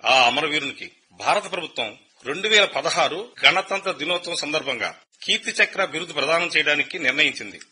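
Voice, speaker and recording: A formal government spokesman making an official call in a radio drama, played by a man. He speaks very quickly and crisply. His voice is sharp and bright, with a husky edge. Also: on the high side, lively intonation, exaggerated voice. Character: official public relations officer on a phone call. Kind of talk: radio play acting.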